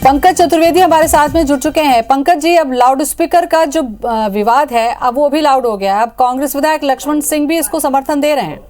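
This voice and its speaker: A woman's voice: high-pitched, a little fast, hardly any pauses, darkish tone. A woman anchoring the news and moderating a live debate. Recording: music bed behind the voice.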